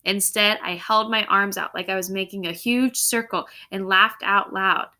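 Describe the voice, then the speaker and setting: A woman's voice: medium pitch, fairly even intonation, moderate pace, bright, forceful tone. A woman teacher reading a story aloud expressively to her class, with instructive asides that check listeners are following. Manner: instructive, checks understanding with tag questions.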